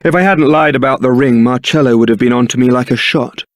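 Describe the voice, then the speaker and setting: Guy doing a young british knight for a video game, smooth rogue charmer, real dry studio sound. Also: mid-range voice, fast talker, no room sound, natural voice. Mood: wry, apologetic. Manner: suave, teasing, polite. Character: suave young male templar knight, roguish and charming. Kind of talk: scripted video game character acting.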